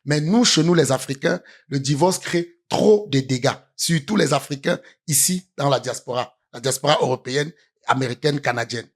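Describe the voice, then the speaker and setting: A man from Cameroon, a guest on a studio panel, speaking in a measured way with frequent hesitations. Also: medium pitch. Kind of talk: panel discussion. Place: studio set.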